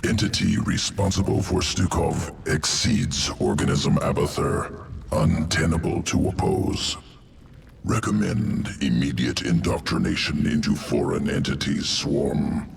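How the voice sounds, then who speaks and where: A man's voice: a bass voice, animated, measured pace, full character voice. A man voicing a cold, guttural alien insectoid geneticist in a video game, speaking in clipped, detached, clinical fragments. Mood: clinical, wary. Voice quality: guttural, gravelly. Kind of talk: video game character acting. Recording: roomy echo, distant, noisy game ambience.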